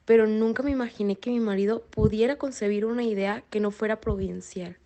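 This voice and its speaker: A woman's voice: medium pitch, fairly even intonation, a little fast, dark tone, natural voice. A woman playing a humble wife and mother, a former teacher, in a radio drama. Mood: humble, earnest.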